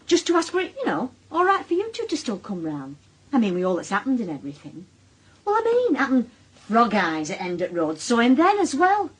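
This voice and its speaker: A harried middle-aged Northern English housewife in a radio play. Her voice is fairly high and slightly husky, swinging widely as she talks. She is blunt, colloquial and wry, always on the defensive. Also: wildly swooping pitch, a little fast, exaggerated voice, low-quality recording. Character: harried, defensive working-class Northern wife and mother. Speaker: lead actress and narrator in a radio drama. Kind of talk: naturalistic British radio drama acting.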